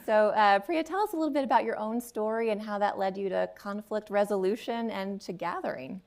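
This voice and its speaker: A woman interviewing an author before a live audience, hesitant and warm, with many fillers. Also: medium pitch, a little fast, vocal fry. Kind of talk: onstage interview, reading a book passage aloud. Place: seminary event room before a live audience.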